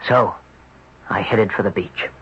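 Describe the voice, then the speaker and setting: A man playing a troubled, weary young surfer who recounts his ordeal dramatically and haltingly in an old radio drama. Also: on the low side, loud music behind the voice. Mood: weary, brooding. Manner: dramatic, halting first-person storytelling. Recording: dull, very noisy vintage radio recording.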